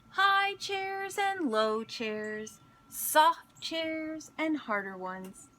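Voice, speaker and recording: A woman reading a picture book aloud in an expressive storytelling manner, pausing to speak to young listeners. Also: high voice. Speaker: school instructional coach reading aloud.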